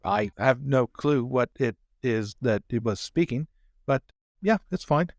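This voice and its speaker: A man's voice: mid-range voice, expressive, measured pace, dry recording, clean studio sound. A man improvising a fussy, chatty, drily teasing bodyguard and former fencing professor in a tabletop roleplay. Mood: dry teasing.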